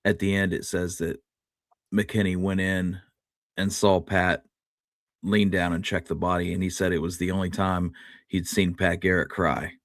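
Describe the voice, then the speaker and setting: A man's voice: deep voice, level tone, moderate pace, no room sound, clean studio sound. A man co-hosting a history talk show, explaining and reasoning through points in a conversational way. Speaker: podcast co-host.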